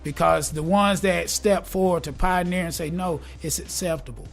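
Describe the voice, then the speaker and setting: A man in his forties, talking casually and earnestly in an interview, upbeat and a bit preachy, and quick to laugh. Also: high voice, vocal fry. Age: 40s. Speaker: guest, gospel rap artist. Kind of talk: casual conversation.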